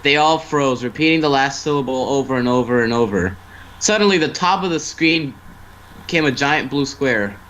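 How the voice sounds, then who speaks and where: A man's voice: medium pitch, expressive, normal speed, fairly bright tone, dull audio. Man narrating a story, slightly noisy mic. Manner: stumbling over words and rereading phrases. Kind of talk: amateur story reading.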